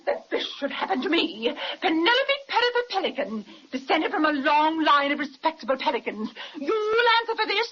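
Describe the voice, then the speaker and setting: A woman's voice: high voice, sing-song, normal speed, hardly any pauses, a bit bright. A woman playing a haughty, blue-blooded female pelican, speaking in a fussy, indignant manner, in 1930s children's radio serial acting. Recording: muffled 1930s radio transcription with hiss.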